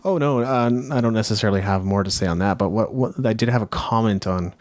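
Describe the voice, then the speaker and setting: A man with a deep voice speaks in a very dry recording as a podcast co-host. He is casual and opinionated, reflecting out loud and rephrasing his points as he goes. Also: fast, dry recording. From Japan.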